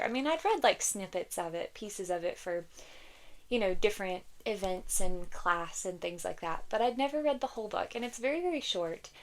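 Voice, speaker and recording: A woman talking casually about books she loves, a little congested and croaky from a cold, chatty and giggly with frequent ums. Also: medium pitch. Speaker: book vlogger. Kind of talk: casual book recommendations.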